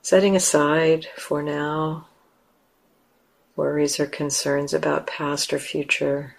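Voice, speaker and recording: A woman leading a guided meditation as a teacher, speaking gently in short, unhurried phrases with long pauses between them. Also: low voice, level tone, gravelly.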